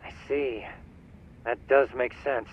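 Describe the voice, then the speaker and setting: A man's voice: medium pitch, fairly even intonation, takes it slowly. An actor playing an earnest, cautious male associate through a phone filter in an English game dub. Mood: concerned. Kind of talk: English dub video game drama acting. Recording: dull, muffled phone-call sound.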